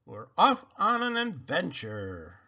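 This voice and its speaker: Jolly storybook motorist, male reader: excitable, exclaiming, bright, high voice. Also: slow pace, highs cut off, full character voice. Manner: exclaiming, loud. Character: jolly, excitable man who loves fast driving.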